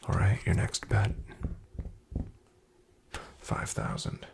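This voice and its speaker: A man playing a shady, guarded back-alley card dealer in a soft-spoken ASMR roleplay, half-whispering his clipped, unhurried patter with a gravelly creak. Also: background noise, close to an everyday voice. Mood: cool, businesslike.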